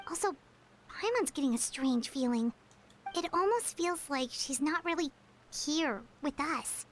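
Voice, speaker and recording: An actress voicing a small, chatty fairy companion in a game, talking in an earnest way. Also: female voice, high-pitched, fairly bright tone, slight background noise. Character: small chatty fairy companion, speaks of herself in third person. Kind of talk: game cutscene voice acting.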